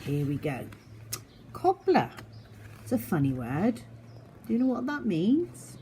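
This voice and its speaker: A woman with a mellow, slightly breathy voice reads a picture-book story to young children. Her pitch rises and falls widely, and she takes her time, speaking to the listeners simply and directly. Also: huge pitch swings, slow, slight background noise. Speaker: teacher reading stories to young pupils. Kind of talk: slow animated children's story reading.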